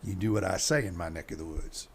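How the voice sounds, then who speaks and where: A man playing a stern, threatening backwoods father and moonshiner in an audio drama, with a gravelly, husky voice. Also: sits low, fast, slight background noise.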